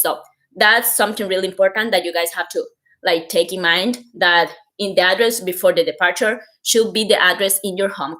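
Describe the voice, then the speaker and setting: A woman's voice: medium pitch, a bit bright. Costa Rican woman explaining informally. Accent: Costa Rican Spanish. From Costa Rica. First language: Spanish. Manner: explanatory, repeats and stresses key points, frequent "like". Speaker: host giving application advice. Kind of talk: informal explainer to camera on a livestream.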